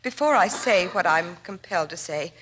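A woman's voice: lowish voice, expressive, moderate pace, fairly bright tone, slight background noise, low-quality recording. A woman playing an anxious, genteel young heiress in an old-time radio drama, speaking formally and hesitantly. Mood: fearful, confiding. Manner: hesitant, formal, stammering over words.

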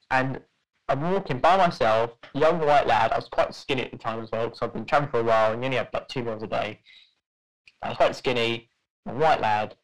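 A young man talking at an ordinary middle pitch, telling a story in a loose, rambling way. He stops and restarts often and fills the gaps with ums and likes. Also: poor audio. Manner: rambling, many fillers and restarts, laughs at own story. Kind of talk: casual podcast storytelling.

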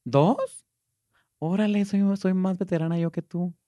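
Guatemalan man hosting a chatty podcast, campy and teasing, mellow tone, dry close mic. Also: high-pitched, no echo. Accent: Guatemalan Spanish. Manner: playful, campy, quick questions with many affirming murmurs. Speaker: podcast host. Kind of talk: casual podcast interview.

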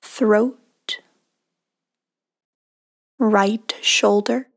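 Very slow, hushed woman guiding meditation. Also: mid-range voice, steady tone. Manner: hushed, breathy, soothing delivery with long pauses. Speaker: meditation guide, podcast host. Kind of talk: guided meditation narration.

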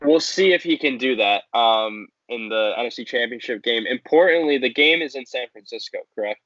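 A man's voice: medium pitch, animated, normal speed, fairly bright tone, no echo. Man talking sports, dry dull recording. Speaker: sports podcast co-host. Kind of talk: casual sports commentary. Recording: dry, slightly dull lo-fi audio.

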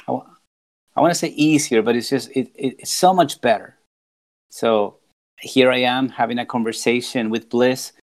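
A man's voice: mid-range voice, darkish tone. A man in his forties, from Peru, speaking as a podcast guest in an earnest, reflective way, often restarting and repeating words as he thinks. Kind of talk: casual podcast conversation.

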